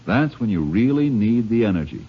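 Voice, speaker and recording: A male radio announcer reading a chatty, folksy 1950s sales pitch. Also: sing-song, brisk, breathy edge. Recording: dull old radio recording.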